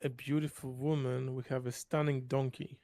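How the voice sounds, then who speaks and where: Faint noise and clicks sit behind a woman with a very low voice. She is a tabletop game player, speaking casually in short replies. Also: flat delivery, normal speed, dark tone. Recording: noticeable background noise with clicks.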